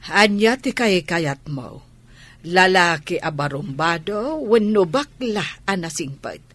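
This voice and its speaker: A woman's voice: fairly low pitch, animated, slow, fairly bright tone, heavily acted. An actress with an Ilocano accent playing a tolerant village mother who argues firmly and protectively in a radio drama. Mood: protective, exasperated. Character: tolerant village mother defending her child. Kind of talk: Filipino radio drama acting.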